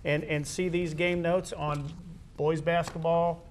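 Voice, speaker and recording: A man with a somewhat high-pitched voice leads a casual interview. He talks off the cuff and haltingly, searching for words, with some noise on the recording. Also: level tone. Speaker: interviewer, host. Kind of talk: casual, unscripted interview talk. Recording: clear background noise.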